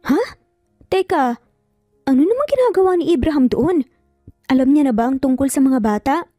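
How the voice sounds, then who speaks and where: A woman's voice: sits high, expressive, normal speed, dark tone, no echo, clean studio sound. A woman voicing a concerned, inquisitive older sister in a romance story, probing and knowing, over music. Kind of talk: Tagalog romance drama storytelling. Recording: music bed under the voice.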